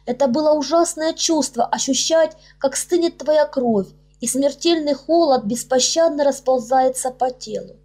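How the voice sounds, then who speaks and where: High-voiced woman narrating a fairy tale. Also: mellow. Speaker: author reading her own audiobook. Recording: music under the voice. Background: background music.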